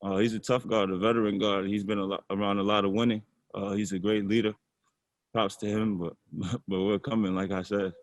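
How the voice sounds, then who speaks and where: Young male athlete, lowish voice, easygoing, restarts his words, dry close sound. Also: brisk, no room sound. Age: young adult. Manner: relaxed, repeats words while thinking. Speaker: college basketball player. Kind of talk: casual interview answers.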